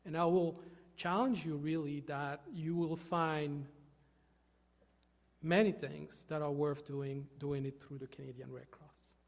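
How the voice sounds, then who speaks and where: A man from Haiti, giving an earnest, halting stage talk with many fillers. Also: on the high side, little pitch movement, creaky. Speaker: volunteer speaker. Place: hall with an audience. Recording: long reverb of a large hall.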